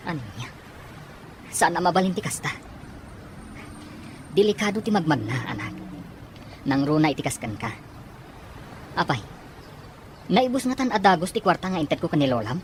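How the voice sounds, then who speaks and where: A man's voice: a little high, very animated, moderate pace, long pauses, background noise. A man playing a concerned, dutiful father in an Ilocano drama, speaking earnestly. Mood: worried, caring. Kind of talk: Ilocano radio drama acting.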